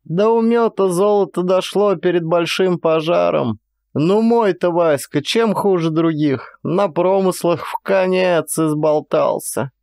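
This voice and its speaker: Old Cossack village woman, played by a male actor, audio play: garrulous, plaintive, rustic, higher pitch, very dry sound. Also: unhurried, a little mellow, no echo. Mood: lamenting. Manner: folksy rustic speech, sighing, plaintive. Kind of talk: one-man audio play character acting.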